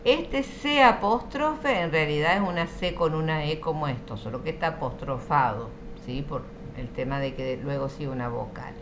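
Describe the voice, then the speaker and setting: A woman's voice: sits low, normal speed, hardly any pauses, background noise. A woman with an Argentine accent teaching French in an online class, patient and explanatory, often checking that students follow. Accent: Argentine Spanish.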